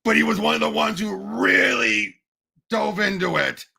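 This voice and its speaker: A man's voice: sits high, normal speed, vocal fry, bright, forceful tone, husky. A man talking about movies as a podcast panelist, emphatic and eagerly repeating himself. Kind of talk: casual movie discussion.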